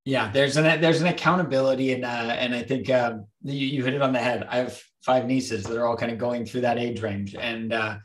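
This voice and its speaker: A man's voice: mid-range voice, fairly even intonation, fairly quick, hardly any pauses. A middle-aged man hosting a podcast interview over video call, upbeat and encouraging. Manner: encouraging, upbeat, frequent affirmations. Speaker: podcast host. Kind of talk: interview host. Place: home office, small room.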